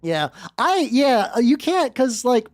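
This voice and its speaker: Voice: mid-range voice, big pitch swings, slow, dry recording. A VTuber livestreamer chatting casually and reading fan fiction aloud in a rambling, sardonic, theatrical way. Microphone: close dry streaming mic. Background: faint background music.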